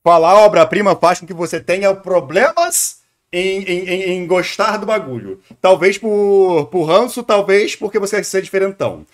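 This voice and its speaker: A man's voice: high-pitched, lively intonation, moderate pace. A young Brazilian man streaming casual live commentary as he reacts to a video, playful and digressive, often repeating words. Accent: Brazilian Portuguese.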